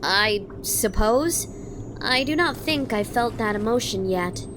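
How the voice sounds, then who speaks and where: Woman as an earnest, higher-voiced female robot. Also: animated, slow, noisy background. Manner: formal, literal, hesitant. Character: earnest, naive young female robot puzzled by emotion. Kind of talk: comic dub voice acting. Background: prominent music.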